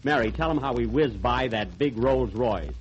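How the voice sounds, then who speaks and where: A man's voice: mid-range voice, normal speed. A man hosting a live radio comedy show, trading jokes with wry, put-upon timing. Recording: lo-fi 1930s radio broadcast with live audience. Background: studio audience laughter, hiss.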